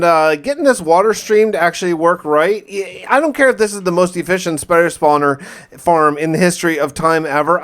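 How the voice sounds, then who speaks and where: Higher-voiced man narrating gameplay. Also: a bit bright. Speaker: gaming video host narrating a survival playthrough. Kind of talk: casual first-person gameplay narration.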